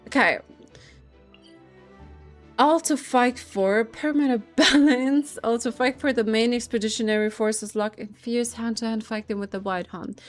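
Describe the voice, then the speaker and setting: Giggly, higher-voiced woman gaming. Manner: giggly, expressive. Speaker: game streamer. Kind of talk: casual reaction and reading game text aloud.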